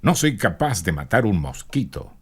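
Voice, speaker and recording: An actor playing a suave, candid male film star answering in a dramatized magazine interview, with measured, courtly phrasing. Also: on the low side, big pitch swings, husky, exaggerated voice.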